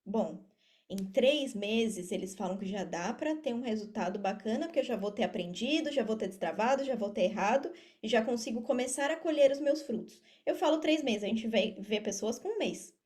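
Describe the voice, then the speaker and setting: High-voiced Brazilian woman explaining casually. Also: expressive, normal speed, a little mellow. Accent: Brazilian Portuguese. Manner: explanatory, repeats phrases for emphasis. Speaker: podcast guest, affiliate marketer. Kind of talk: casual interview talk.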